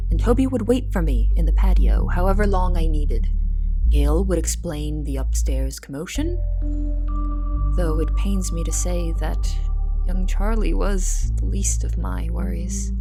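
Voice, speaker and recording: Woman acting haunted young cook, music underneath. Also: lowish voice. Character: young female cook, haunted, secretive, anxious. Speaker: narrator and lead of an audio drama. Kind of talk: scripted first-person narration, audio drama.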